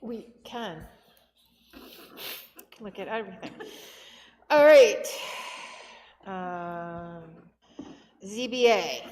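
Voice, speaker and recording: A woman's voice: mid-range voice, a little mellow. A woman chairing a town budget committee meeting, reading out budget lines and calling votes in a businesslike way on a table microphone. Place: town hall meeting room. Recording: echoey hall, faint background noise.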